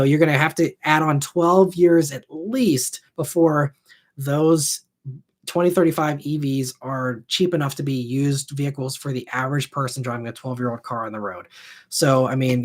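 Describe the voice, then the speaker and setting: A man in his thirties hosting a live stream, answering viewer questions in a rambling, casual way full of fillers. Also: on the high side. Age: 30s. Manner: rambling, heavy on fillers like "you know" and "I mean". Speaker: host.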